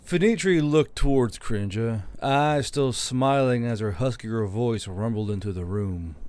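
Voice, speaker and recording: A man's voice: mid-range voice, unhurried, a little mellow. A man narrating a scripted audiobook story in the role of narrator.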